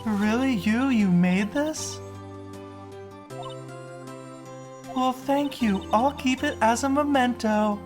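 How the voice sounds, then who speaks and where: A man voicing a poised, kindly woman who is a chief lawyer and mentor, measured and gently teasing, in a fan-dubbed game. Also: very high-pitched, music in the background. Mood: amused. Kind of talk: fan-dub video game dialogue.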